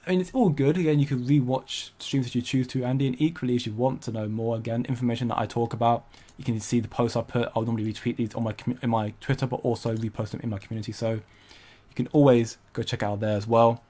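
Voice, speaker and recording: A man's voice: medium pitch, fairly even intonation, fast, warm tone. A young man hosting a casual crypto livestream, rambling and hesitant with frequent restarts while he reads out viewer comments. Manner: rambling, hesitant, many restarts, laughs often.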